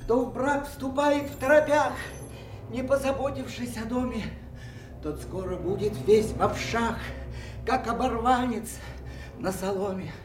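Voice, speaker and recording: An actor playing a wry, plaintive court jester in a theatrical audio play, with a singsong delivery. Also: male voice, very high voice, measured pace. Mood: wry, teasing. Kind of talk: Russian audio play, theatrical rhymed verse. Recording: echoey, distant from mic, music underneath.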